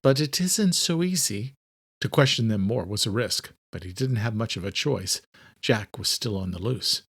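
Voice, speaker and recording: A man's voice: lowish voice, normal speed, fairly bright tone, slightly rough. A man narrating a scripted audiobook thriller in a dramatic storytelling style.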